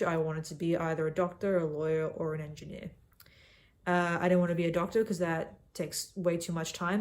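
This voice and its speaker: Brash young Australian woman vlogging. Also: lowish voice. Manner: brash and self-mocking, calls the viewer sweetheart. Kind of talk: casual talk to camera. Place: cabin.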